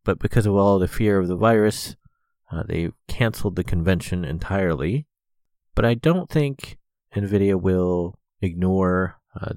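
A man's voice: low-pitched, a little mellow, no room sound. A young man hosting a rambling solo podcast, stuffed up with a cold, filling his sentences with lots of "uh". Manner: rambling, many "uh" fillers. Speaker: podcast host.

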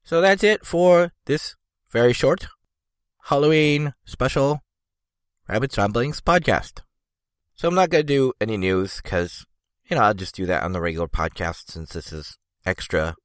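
A man's voice: mid-range voice, expressive, moderate pace, no echo, studio quality. A man hosting a podcast, stuffed up and congested, rambling casually with many fillers. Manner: meandering, lots of "you know" and "like". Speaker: podcast host.

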